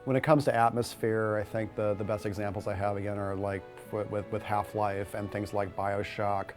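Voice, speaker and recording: A man giving analytical, somewhat halting explanations in an interview as a game developer. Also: on the low side, little pitch movement, faint music behind.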